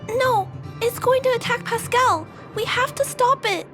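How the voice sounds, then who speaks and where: A woman voicing a kind, earnest young girl in a video game, polite, soft-hearted and resolute. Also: extremely high pitch, measured pace. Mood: worried. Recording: music behind the voice.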